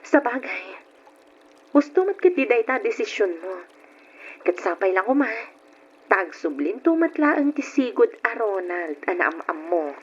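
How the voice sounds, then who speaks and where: A woman's voice: mid-range voice, big pitch swings, unhurried, a little hiss or hum. A woman acting in an Ilocano radio drama, playing a supportive, caring single friend. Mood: concerned. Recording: muffled old radio recording.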